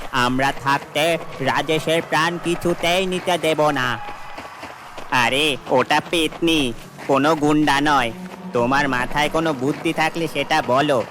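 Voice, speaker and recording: Man as excitable cartoon villager, emphatic, slow-paced, clearly noisy background. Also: a little high, lightly acted. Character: excitable village men arguing over a ghost. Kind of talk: horror cartoon voice acting.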